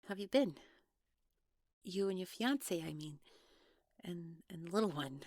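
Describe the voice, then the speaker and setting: A woman playing a guarded, awkward young woman in an audio drama, speaking naturally in a halting, hesitant way. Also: on the low side, animated, a little mellow, dry recording, studio quality. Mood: bashful, fond. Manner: hesitant, halting, trailing off, nervous laughs. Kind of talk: naturalistic one-sided audio roleplay acting.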